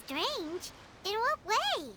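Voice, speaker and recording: Game fairy sidekick: tiny childlike girl, piercingly high, very animated, pert and inquisitive, loud noise behind. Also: drawn-out words, heavily acted. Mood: curious, questioning. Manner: speaks of self in third person, pert. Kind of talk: video game voice acting.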